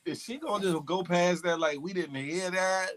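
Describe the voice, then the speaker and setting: A man hosts a casual morning show, teasing and laughing in loose, slangy speech. His voice sits fairly high for a man. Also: moderate pace, fairly bright tone.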